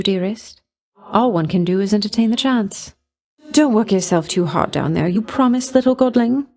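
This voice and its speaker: Woman voicing a flirty love goddess from a video game, coy and doting, kinda grand, purring pet names, mid pitch. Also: a little mellow, natural voice. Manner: coy, affectionate, purring endearments. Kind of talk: video game character voice lines.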